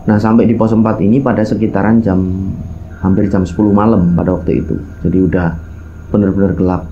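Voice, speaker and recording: A man's voice: on the low side, steady tone, quick pace, lo-fi sound, a little hiss or hum. A man with a Javanese accent, telling a personal hiking tale as a casual, colloquial storyteller who speaks directly to his listeners. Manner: colloquial, addresses listeners, acts out quoted dialogue, mixes in local phrases. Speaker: storyteller recounting his own mountain hike.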